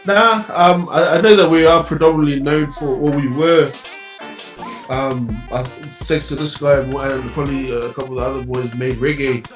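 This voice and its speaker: A man's voice: on the high side, fairly even intonation, normal speed, recorded from a distance. A man from New Zealand talks easily about his music, laughing often and leaning on fillers. He sits back from the mic in an echoing room. Music plays faintly underneath. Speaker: DJ and music producer. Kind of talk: casual interview chat.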